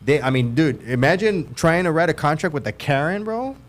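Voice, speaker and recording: A man's voice: on the high side, animated, a little fast. A man in his forties from Houston with a Texan accent, a realtor co-hosting a podcast, talking emphatically with lots of restarts and slang. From Houston, Texas. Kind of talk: casual podcast chat.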